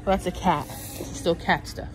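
A woman's voice: on the low side, fairly even intonation, normal speed, low-quality recording. A woman, chatting and thinking aloud while filming a shopping vlog on a phone in a pet store. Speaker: vlogger. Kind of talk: casual shopping vlog chat. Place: pet store aisle, indoors. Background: store music playing.

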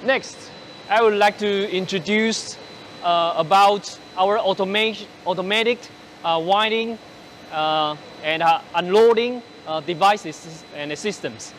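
A man in his forties presenting machinery on a large factory floor, speaking haltingly with many fillers and restarts, using a lapel mic. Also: sits high, a bit bright, a little hiss or hum. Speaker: company presenter on a factory tour. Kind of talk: product walkthrough.

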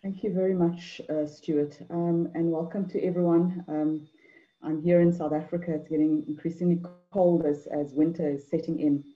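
A middle-aged woman from Mauritius gives an online talk on a video call, measured, with many fillers and repeated words. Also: low voice, fairly even intonation, warm tone, soft background music. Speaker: anthropologist and poet speaker. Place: indoor room at home. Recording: dull lo-fi video call audio.

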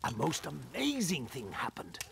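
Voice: mid-range voice, wildly swooping pitch, measured pace, gravelly, background noise, full character voice. An actor playing a jolly, simple old peasant husband in a cartoon fairytale, boastful and teasing as he tells his tale. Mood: excited teasing. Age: older. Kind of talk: animated fairytale dub acting.

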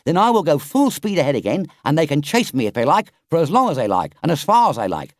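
A man's voice: on the high side, sing-song, quick pace, no echo, studio quality, voice pushed up. A man doing a kind-hearted engine driver. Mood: urgent. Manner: earnest, urgent.

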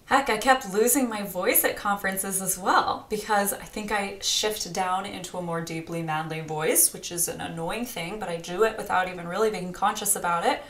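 Energetic woman coaching in a roomy room. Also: mid-range voice, normal speed, hardly any pauses. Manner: energetic and chatty, talks with her hands. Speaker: grant writing coach. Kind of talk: direct-to-camera teaching vlog. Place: small home studio indoors.

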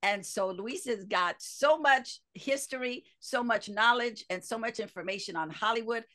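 A woman's voice: a little high, normal speed, a bit bright. A woman hosting an interview over a headset from her home office, eager and enthusiastic. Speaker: podcast host.

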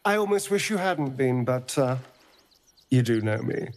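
A man's voice: mid-range voice, lively intonation, a little slow. A man voicing a flamboyant, sardonic, flirtatious rogue in a video game, with a theatrical, teasing delivery. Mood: playful, touched. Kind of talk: video game character acting.

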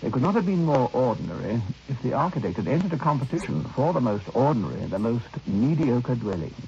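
A gravelly, reflective storyteller. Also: male voice, medium pitch, very animated, mellow. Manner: measured, literary, dramatic pauses. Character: reflective male writer recounting an uncanny memory. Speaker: narrator. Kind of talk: old radio drama first-person narration. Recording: dull old radio recording, faint noise.

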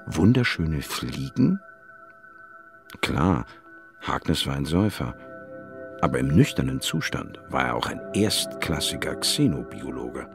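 An actor playing an inquisitive, wry male biologist, narrating in a measured, dry manner in a radio drama. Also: very deep voice, very animated, vocal fry, over music, heavily acted. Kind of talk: scripted first-person radio drama narration.

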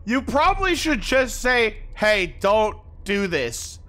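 A streamer giving casual, expressive livestream commentary while playing a game. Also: medium pitch, takes it slowly. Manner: animated, expressive. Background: game music.

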